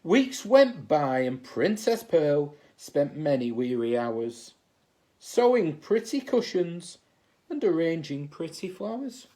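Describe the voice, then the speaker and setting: A man narrates a children's storybook in an expressive teacherly style. His pace is slow and measured, and his pitch rises and falls widely. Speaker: assistant head teacher reading a bedtime story.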